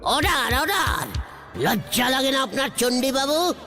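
A performer voicing an excitable, pompous male judge in a comedy cartoon, repeating himself and mangling words. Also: sits high, a little slow, full character voice. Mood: scolding. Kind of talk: Bengali horror comedy cartoon dialogue. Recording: loud music under the dialogue.

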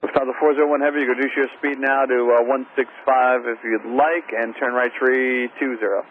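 A man's voice: mid-range voice, steady tone, a little fast. An American man working as an air traffic controller, giving radio instructions. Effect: aviation radio. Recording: tinny narrow-band aviation radio, faint static.

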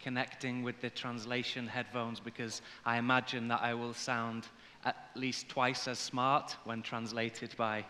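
A man in his forties with an English accent speaks as a corporate presenter at a conference. His voice is bright and forceful at an average male pitch, with measured phrasing. The long reverb of a big hall surrounds it. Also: little pitch movement. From Liverpool, England. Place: large indoor conference hall, on stage. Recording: reverberant hall sound from stage.

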